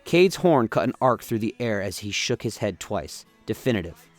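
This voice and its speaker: Low-voiced male narrator over soft music. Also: sing-song, moderate pace. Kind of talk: scripted dramatic story narration.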